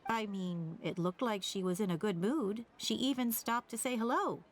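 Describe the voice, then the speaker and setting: A woman's voice: medium pitch, moderate pace, natural voice. A woman playing a pleasant, chatty, helpful townswoman in a video game. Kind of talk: video game character voice acting.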